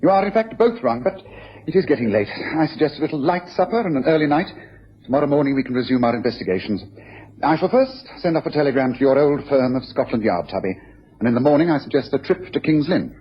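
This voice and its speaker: Brisk, self-assured male detective, period radio drama, lively intonation, dull recording. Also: medium pitch, slightly rough. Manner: decisive, declarative, explanatory. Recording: dull old radio recording, faint hiss.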